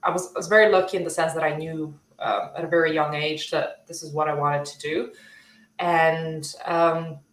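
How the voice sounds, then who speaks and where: Low-voiced woman from Bulgaria on a video call. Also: steady tone, moderate pace. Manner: friendly, laughs easily, fillers. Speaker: guest, software development leader. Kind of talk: casual interview chat. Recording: roomy echo.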